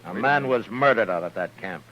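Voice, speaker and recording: An actor playing a stern, principled frontier marshal in a 1950s radio western, speaking firmly and tersely. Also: male voice, lowish voice, expressive, brisk, lightly acted. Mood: indignant. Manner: firm, terse, authoritative. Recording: dull, noisy old radio recording.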